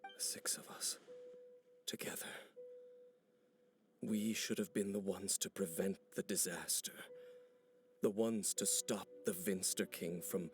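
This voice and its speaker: An actor playing a solemn, brooding ancient warrior in a video game, speaking gravely and formally, embittered by old betrayal. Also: male voice, sits low, fairly even intonation, a little hiss or hum. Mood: mournful. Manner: grave, formal, measured speech. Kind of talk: video game character dialogue. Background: background music.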